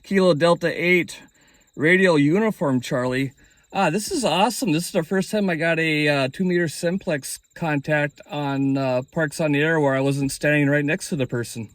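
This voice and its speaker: A man's voice: a little high, moderate pace. A man speaking casually as an amateur radio operator hosting a hobby video. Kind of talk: casual hobby vlog talk and radio calling.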